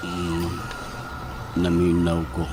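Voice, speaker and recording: A man acting an entranced man in a horror audio drama, speaking in a low, gravelly, halting, drowsy murmur. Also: completely flat delivery. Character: entranced man, Dominic, slowly describing creeping visions. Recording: music bed under the voice.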